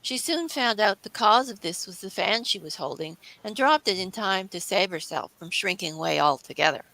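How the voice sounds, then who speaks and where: A woman's voice: medium pitch, animated, normal speed, sharp tone, poor audio. A woman reading scripted children's story narration as the storybook's narrator.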